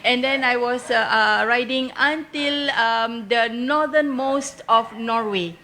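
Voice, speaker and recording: A woman with a Malaysian accent answering as an interview guest, telling travel stories step by step in halting, unscripted English. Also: fairly high pitch, sharp tone.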